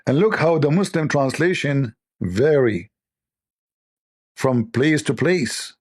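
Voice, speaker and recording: A man with a voice worn hoarse, giving scornful, lecturing commentary on religious texts in non-native English. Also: medium pitch, animated, slow pace, darkish tone. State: losing his voice. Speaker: religious debate host. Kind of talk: polemical commentary reading texts.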